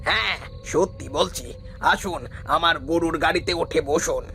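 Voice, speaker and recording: A man playing an eerie old ox-cart driver who gives cautioning warnings in an animated horror story. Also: a little high, a little slow, faint music behind. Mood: helpful. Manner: ominous, cautioning.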